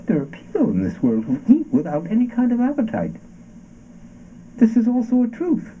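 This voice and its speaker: An actor playing an anguished philosophical man haunted by his body, declaiming haltingly in an avant-garde stage drama. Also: very high-pitched, huge pitch swings, full character voice. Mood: insistent. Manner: halting, theatrical, swooping declamation. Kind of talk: avant-garde theatrical performance of a radio play. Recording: dull, muffled stage recording with noise.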